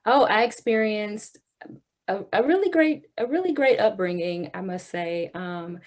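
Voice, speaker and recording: A woman's voice: mid-range voice, animated, a little slow, a little mellow. A young woman singer from the Houston area in Texas, chatting in an interview, talkative, laughing often, with many ums. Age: young adult. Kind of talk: casual interview chat.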